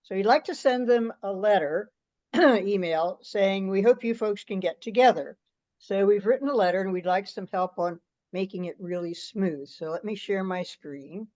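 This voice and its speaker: A woman's voice: mid-range voice, normal speed, a little mellow. Woman on a slightly dull call. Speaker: meeting participant presenting a draft letter. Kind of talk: reading a draft aloud and discussing it in an online meeting. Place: online conference call.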